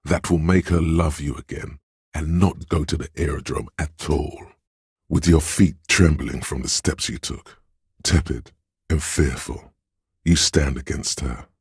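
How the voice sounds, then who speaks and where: A very deep, heavily rasping inner voice from a narrative video game. It coaxes and advises with measured, deliberate drama, intimate and insinuating, in a dry, close sound. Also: male voice, steady tone, no echo, full character voice. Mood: urgent, advising, then hushed, poetic. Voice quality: deep, gravelly, husky. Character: smooth, insinuating inner voice of social intuition, coaxing, intimate. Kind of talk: scripted game narration as an inner voice giving social advice.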